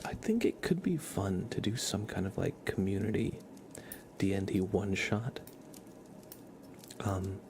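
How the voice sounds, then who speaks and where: A man hosting an ASMR livestream, chatting casually and softly, often slipping toward a whisper, with noise behind him. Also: fairly low pitch. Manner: soft-spoken, often drops to a whisper. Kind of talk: soft casual ASMR chat. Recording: clear background noise on livestream.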